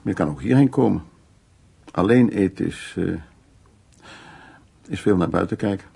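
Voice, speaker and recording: A suave, lonely man of the world in a naturalistic radio play. His voice is gravelly, very hoarse-edged and dark. He muses and teases as he presses his courtship. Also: sits low, measured pace, heavily acted. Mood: teasing, persuasive. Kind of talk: naturalistic Dutch radio drama acting.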